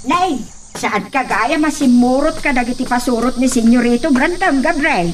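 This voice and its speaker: A woman portrays a nosy yet timid hacienda maid in a radio drama. Her slightly high voice is hesitant and deferential, with faint noise behind. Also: normal speed, lightly acted. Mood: curious, flustered.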